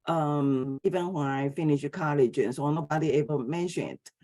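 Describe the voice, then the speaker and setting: A middle-aged woman from Taiwan, giving an academic philosophy lecture in a hesitant way, with many pauses and filler sounds. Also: deep voice, dark tone.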